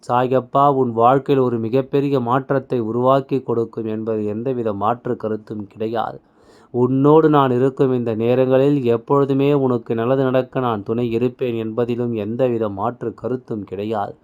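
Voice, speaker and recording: A man's voice: mid-range voice, fairly even intonation, moderate pace, a little mellow, lo-fi sound. A man delivering a spiritual motivational monologue, exhorting the listener repeatedly as if speaking to a child. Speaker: devotional channel speaker delivering messages in a deity's voice.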